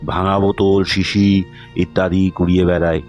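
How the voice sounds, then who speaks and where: A man narrating a scripted fairy tale as storyteller, in measured, short dramatic phrases. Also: deep voice, flat delivery, a little mellow, highs cut off, faint music behind.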